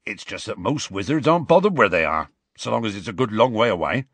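Gruff half-giant groundskeeper, man doing the voice, low, husky, rustic dialect. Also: big pitch swings, fast, dry recording. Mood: storytelling.

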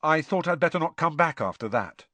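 A man's voice: on the high side, lively intonation, fairly quick, bright, forceful tone, no echo, pitched above the performer's own voice. A man voicing a flustered, indignant teenage schoolboy in a storybook reading.

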